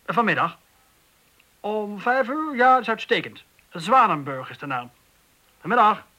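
A man's voice: high-pitched, animated, unhurried, background noise. An actor in a Dutch radio drama playing a cocky, wisecracking male police detective with a blustering, colloquial manner. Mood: businesslike.

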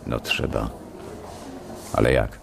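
A terse, very deep-voiced colleague. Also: male voice, flat delivery, slow, vocal fry, noisy background, heavily acted. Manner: terse, blunt. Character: blunt male junior analyst colleague. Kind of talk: radio drama acting.

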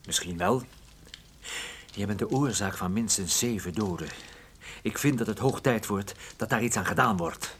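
A man's voice: lowish voice, moderate pace, fairly bright tone, a little hiss or hum, natural voice. Een man die in een hoorspel een droge, sardonische en onbuigzame privédetective speelt. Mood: dry, stern. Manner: dry, sardonic. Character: wry, unyielding male private detective confronting a criminal. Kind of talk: radio drama acting.

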